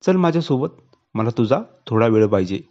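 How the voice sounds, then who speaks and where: Guy narrator as a young male student in a story, blunt and pushy, mid pitch, muffled audio. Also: very animated, normal speed, warm tone, lightly acted. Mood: demanding. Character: young male student, infatuated, later coercive.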